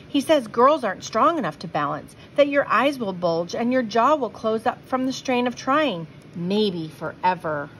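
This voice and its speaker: Earnest, friendly storybook boy, read by a woman, confiding and cautioning, pitched a little high. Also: expressive, moderate pace, hardly any pauses, a bit bright, a little hiss or hum, poor audio. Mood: worried.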